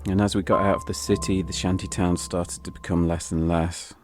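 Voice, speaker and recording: A man narrating a dramatic first-person travel story in measured, suspenseful short phrases, as a storytelling podcast host. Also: deep voice, little pitch movement, darkish tone, a little hiss or hum.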